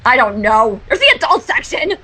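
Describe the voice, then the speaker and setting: A woman's voice: fairly high pitch, sing-song, moderate pace, bright, forceful tone, poor audio. A woman co-reader in casual reaction banter, dramatic and exasperated.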